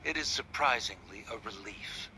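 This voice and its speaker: A man playing a cold, proud scholar and stern father in a video game, aloof and deliberate. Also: lowish voice, expressive, noisy background. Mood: disdainful, softening. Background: game music.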